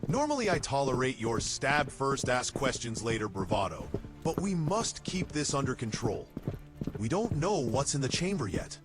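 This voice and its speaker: A man playing a cautious, wry, level-headed soldier in a fantasy audio drama, speaking in a measured manner. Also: medium pitch, very animated, over music, natural voice.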